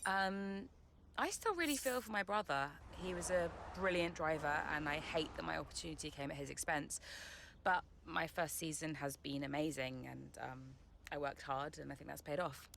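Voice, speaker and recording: An actress playing a young racing driver in a video game cutscene, a frank and defiant daughter. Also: female voice, sits low, moderate pace, gravelly, fairly bright tone, a little hiss or hum. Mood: measured, guarded. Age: young adult.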